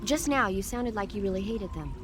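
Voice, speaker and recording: A woman's voice: mid-range voice, expressive, brisk, background noise, close to an everyday voice. Woman as an earnest, bookish game medic. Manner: precise, explanatory, earnest. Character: earnest, bookish young female medic advising by radio. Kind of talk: video game voice acting.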